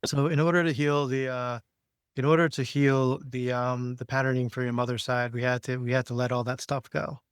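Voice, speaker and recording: Affirming, halting man guiding a session. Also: mid-range voice, little pitch movement, clean tone, dry recording, clean studio sound. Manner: affirming, halting, checks back often. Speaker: healing practitioner and host. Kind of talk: guided session, gentle questioning.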